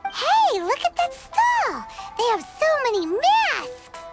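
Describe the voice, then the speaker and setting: A woman voicing a tiny, childlike female game companion, chirpy, outspoken and squeaky. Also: slow pace, over loud music, heavily acted. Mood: excited, curious. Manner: refers to self in third person, excitable. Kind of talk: video game voice acting.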